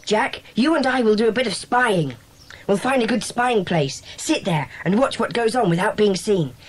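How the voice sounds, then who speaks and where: A woman plays a schoolboy in charge of a secret club. The delivery is brisk, bossy and eager, with pitch that moves about a lot, in the manner of a children's dramatised audiobook. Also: animated, a little hiss or hum, lightly acted. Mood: organising, earnest. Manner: brisk, commanding, organising.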